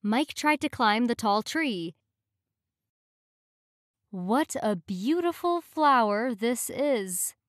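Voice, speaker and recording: A woman reading short English practice phrases in a clear, measured way, each one said twice. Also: a little high, lively intonation, no room sound, clean studio sound.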